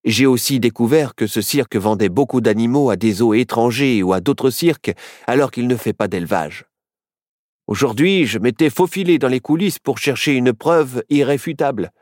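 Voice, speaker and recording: A man's voice: mid-range voice, lively intonation, normal speed, darkish tone, no room sound, studio quality, lightly acted. A man voicing a serious, sensible journalist turned parrot, explaining with composure in a children's story.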